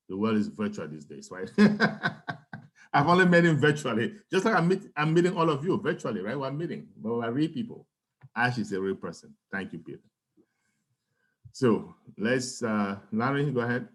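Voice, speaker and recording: A man hosting a webinar, passing the floor to speakers in a chatty way. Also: medium pitch. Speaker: webinar host. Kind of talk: moderating a video call.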